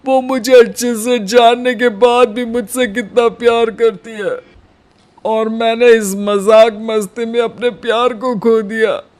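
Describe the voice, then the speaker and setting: A man playing a playful, romantic and easily worried young husband in a cartoon story, with a dramatic, expressive delivery. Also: very high-pitched, slow. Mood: remorseful. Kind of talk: cartoon storybook character acting.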